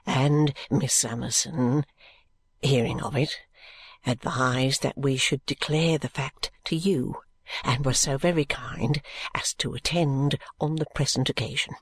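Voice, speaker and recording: Meek young son for an audiobook, acted by the narrator: lowered voice, slow, submissive, earnestly pleading. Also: fairly even intonation, husky, dry recording. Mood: submissive, anxious. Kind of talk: audiobook character voice.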